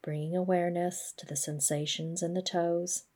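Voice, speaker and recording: A woman gently guiding a body scan meditation, speaking in a measured, instructional way. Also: fairly low pitch, level tone. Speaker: mindfulness practice guide.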